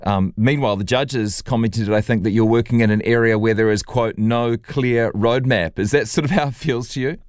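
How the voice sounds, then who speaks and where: A male radio host, asking questions in a relaxed, conversational way. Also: medium pitch, moderate pace, few pauses, no room sound, clean studio sound. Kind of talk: radio interview.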